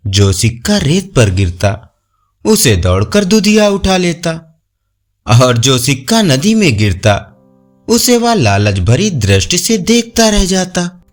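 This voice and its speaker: A man's voice: fairly high pitch, big pitch swings, slow. A man narrating moral folk tales as a scripted storyteller. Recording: music under the voice. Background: faint music.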